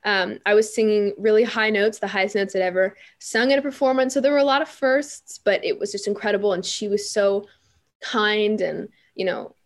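Teen girl musician chatting in an interview, lots of um and you know, middle pitch. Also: fairly quick. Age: teens. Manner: chatty, animated, frequent fillers and restarts. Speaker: guest musician. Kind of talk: interview storytelling.

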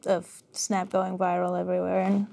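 A woman from Armenia, a guest singer talking casually in a radio studio, hesitant with many pauses and ums. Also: lowish voice, monotone, gravelly, mellow. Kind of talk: casual interview.

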